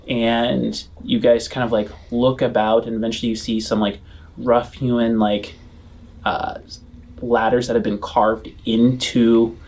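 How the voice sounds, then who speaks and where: A man acting as game master, improvising scene descriptions in a halting, descriptive way. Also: mid-range voice, darkish tone. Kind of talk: improvised tabletop game narration.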